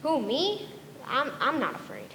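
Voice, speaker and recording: A hungry, trusting little boy chirps along eagerly in a folksy way. The voice sits very high and unhurried. Hall reverb and clear clicking sit behind the cartoon track. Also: huge pitch swings, sharp tone, a little hiss or hum, full character voice. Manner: eager, folksy boyish talk. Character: young boy, hungry and cheerfully naive. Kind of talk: cartoon voice acting.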